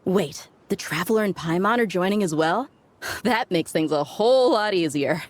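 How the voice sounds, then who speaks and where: A cocky desert mercenary woman from a video game, voiced by an actress, speaks in a lowish voice with a loose, teasing swagger. She sounds casual and sure of herself, over faint noise. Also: lively intonation, normal speed, lightly acted. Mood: playful, teasing. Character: breezy, cocky female desert mercenary instructor.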